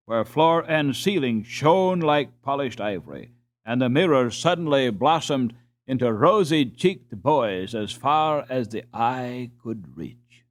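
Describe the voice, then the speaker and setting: A man narrating a storybook as an audiobook reader, in a measured, expressive way. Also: medium pitch.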